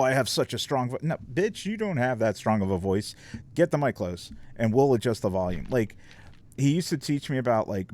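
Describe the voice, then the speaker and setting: Male streamer, low-ish voice, loose profane casual chat. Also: darkish tone. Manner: rambling, profane, lots of fillers. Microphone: desk microphone.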